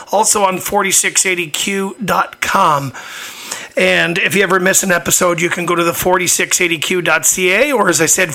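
A man's voice: high-pitched, sharp tone. A man hosting a talk show, chatting upbeat with a guest and plugging sponsors. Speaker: radio show host. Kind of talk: talk show introduction and interview.

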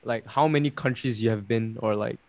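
Brief: young male podcast host, easygoing and giggly, mid-pitched, dry close sound. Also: fairly quick, darkish tone, no room sound. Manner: chatty, laughs often, many "like" fillers. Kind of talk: casual podcast chat.

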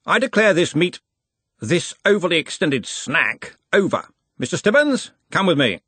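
A man voicing a blustering elderly male wizard who runs a university, with a booming, pompous manner. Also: very animated, a little slow, pushed and bright, slightly rough, dry recording, full character voice. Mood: jovial.

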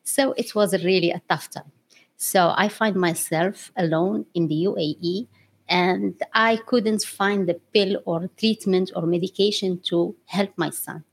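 Woman from morocco, lowish gravelly voice, laughs a lot while telling her story. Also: unhurried. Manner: laughs often, non-native English grammar. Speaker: guest. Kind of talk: interview storytelling.

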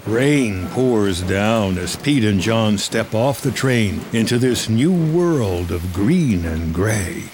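Slow, low-voiced male narrator. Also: expressive, a little mellow, slight background noise. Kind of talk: scripted screenplay narration.

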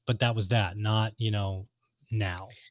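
A man's voice: lowish voice, fairly even intonation, measured pace, no room sound. Man chatting casually, close and dry. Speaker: podcast co-host. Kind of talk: casual chat about video games.

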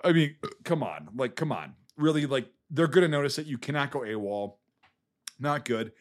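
A man's voice: medium pitch, lively intonation, moderate pace. Profane, ranting man reviewing a film. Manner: profane, exasperated, rambling with restarts. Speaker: film podcast co-host.

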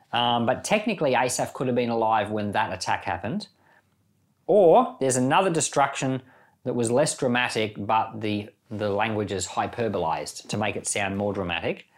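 A man speaking as a pastor on a Bible podcast, reading scripture and explaining it in a plain teaching manner. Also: medium pitch. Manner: explanatory, reasoning through options step by step. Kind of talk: scripture reading followed by informal teaching commentary.

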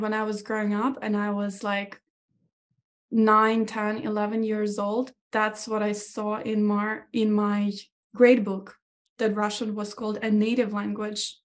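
A woman in her thirties from Ukraine, whose first language is Ukrainian, answers questions as a podcast guest. She speaks thoughtfully at a middle pitch and often stops to restart her sentences. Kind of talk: conversational interview.

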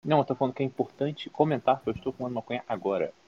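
A man's voice: medium pitch, expressive, very fast, slightly rough. A young Brazilian man co-hosting a podcast in a casual chat with friends. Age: young adult. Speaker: podcast co-host. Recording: dull, noisy voice-chat microphone.

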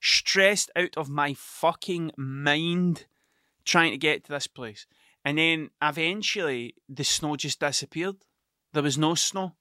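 A middle-aged Scottish man with a broad Ayrshire accent tells a long, rambling story, swearing freely and checking in with "do you know what I mean". His voice sits a little high and sounds bright and forward. The recording is close and dry, with no room sound. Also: slow pace, no echo. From Ayrshire, Scotland. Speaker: podcast host. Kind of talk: casual storytelling monologue.

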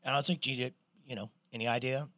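Animated middle-aged man talking sports. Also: medium pitch, quick pace, dry recording, clean studio sound. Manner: animated storyteller, swears, restarts a lot. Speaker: podcast co-host. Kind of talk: casual sports chat.

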